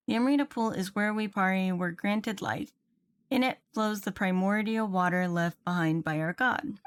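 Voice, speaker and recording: A woman game streamer chatting casually and reading aloud as she plays, muttering to herself now and then. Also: medium pitch. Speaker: livestreamer. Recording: game music behind voice. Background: video game music.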